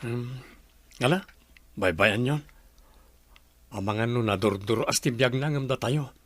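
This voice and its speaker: A man's voice: mid-range voice, a little slow, no echo, natural voice. A man playing a reluctant, doubtful village husband in a radio drama, muttering his replies. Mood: doubtful, dismissive.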